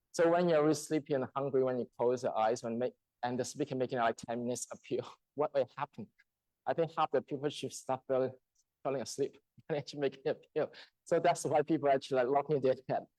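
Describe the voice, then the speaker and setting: A man from China in his thirties, whose first language is a Chinese dialect, telling a personal testimony in church in a halting, storytelling way with frequent laughter. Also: medium pitch, a little mellow, no echo, rough recording. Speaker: church speaker. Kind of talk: testimony sermon. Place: church hall.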